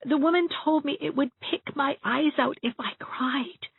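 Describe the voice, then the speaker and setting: A woman's voice: sits high, a little slow, gravelly, dry recording, phone-quality sound, pitched above the performer's own voice. A woman voicing a small, lonely, earnest little girl who confides in a plaintive way, as in a storybook reading. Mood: frightened, confiding.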